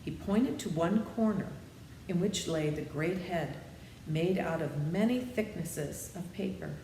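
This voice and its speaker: A woman's voice: on the low side, unhurried, mellow. A woman reading a children's story aloud, with chatty asides to her listeners. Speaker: storybook reader. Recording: echoey room with faint noise.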